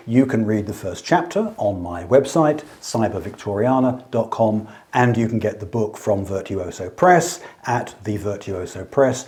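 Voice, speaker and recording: Measured male author, lowish voice. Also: warm tone. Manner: measured, explanatory. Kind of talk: scripted talk on writing fiction.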